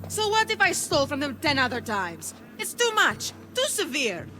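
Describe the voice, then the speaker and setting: A woman's voice: high-pitched, very animated, moderate pace, pushed and bright, faint music behind, exaggerated voice. An actress playing a defiant, streetwise peasant mother in a video game, feisty and earnest. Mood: bitter, pleading. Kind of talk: video game cutscene acting.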